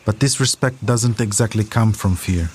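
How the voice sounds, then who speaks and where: A man's voice: lowish voice, moderate pace, darkish tone, a little hiss or hum. A man delivering scripted documentary narration as a podcast narrator, telling a story in composed sentences.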